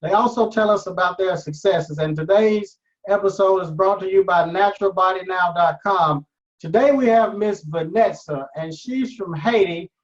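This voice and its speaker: A man's voice: sits high, moderate pace. An interview host, who is probably a man, opening the show with a scripted intro that turns loose and filler-heavy. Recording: distant, dull lo-fi sound.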